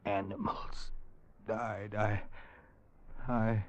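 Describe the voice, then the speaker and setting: A man's voice: on the low side, level tone, extremely slow. An actor playing a parched, gasping man dying of thirst in a 1940s radio drama. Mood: exhausted. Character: dying man lost in desert. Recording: muffled noisy vintage radio recording.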